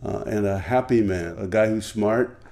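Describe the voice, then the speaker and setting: Male tribute speaker, low and mellow, measured praise. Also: vocal fry. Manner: measured and admiring, piling up qualities. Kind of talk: tribute interview.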